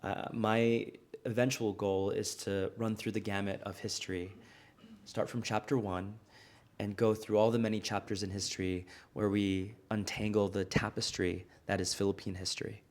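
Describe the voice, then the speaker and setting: American guy being interviewed, low voice, earnest and reflective, storytelling. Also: flat delivery, moderate pace. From United States. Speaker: guest, restaurant founder. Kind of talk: conversational interview.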